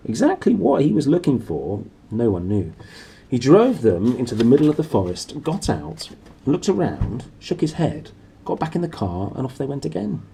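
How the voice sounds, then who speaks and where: A man reading a children's novel aloud as an animated storyteller. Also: mid-range voice, normal speed, vocal fry, a little hiss or hum, rough recording. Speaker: storybook reader.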